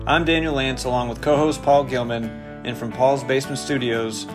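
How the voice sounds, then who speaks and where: A male podcast host reading a scripted episode introduction in a measured way. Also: mid-range voice, fairly even intonation. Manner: measured, read-out delivery. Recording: music bed under voice.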